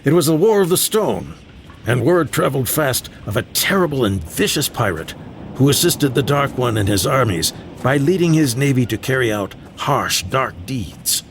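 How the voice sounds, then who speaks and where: An actor playing a proud, teasing old grandfather who tells dramatic tales in a fantasy audio drama, with a gravelly voice. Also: medium pitch, lively intonation, normal speed, lightly acted. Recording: clear background ambience noise.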